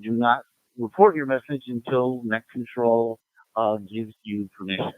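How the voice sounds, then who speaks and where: A man acting as net control on a community radio net, giving informal announcements and roll calls in a halting, procedural way. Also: medium pitch, steady tone, no echo, phone-quality sound.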